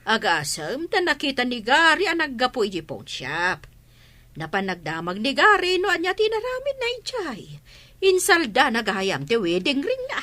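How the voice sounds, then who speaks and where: A fretful older mother voiced by a woman in a radio drama, bright and forward in tone. Her disapproving lines rise and fall widely as she talks about family matters. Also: high-pitched, huge pitch swings, moderate pace, heavily acted. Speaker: voice actor in an audio drama.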